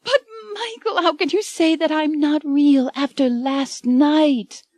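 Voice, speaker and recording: A woman's voice: lively intonation, slow, mellow, dry recording, clean studio sound, full character voice. Woman voicing Anne, a tearful romance heroine. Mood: pleading. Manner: high, tearful and pleading. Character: Anne, young romance heroine, frightened and adoring.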